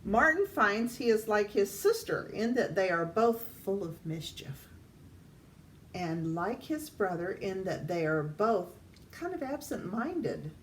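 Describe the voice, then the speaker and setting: An older woman reads a children's picture book aloud in a deliberate way, addressing young listeners. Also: a little high. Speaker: storytime reader. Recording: distant mic, faint background noise.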